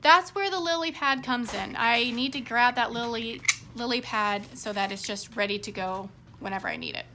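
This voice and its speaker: Female craft vlogger, fairly high and crisp-bright, slightly hoarse, informal rambling chat. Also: normal speed, slight background noise. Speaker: craft vlog host. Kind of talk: casual rambling chat to viewers while crafting.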